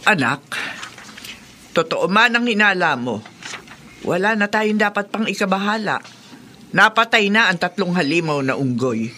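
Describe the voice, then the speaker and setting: A concerned mother of a grown son in a radio drama. Her voice is bright and very lively in pitch, emotive and admonishing, with faint noise behind it. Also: moderate pace, long pauses. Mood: soothing, concerned.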